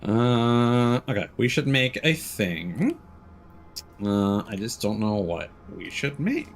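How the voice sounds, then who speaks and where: A man's voice: mid-range voice, sing-song, slow, a little hiss or hum. A man giving casual gameplay commentary as a streamer, muttering to himself and reading in-game notes aloud.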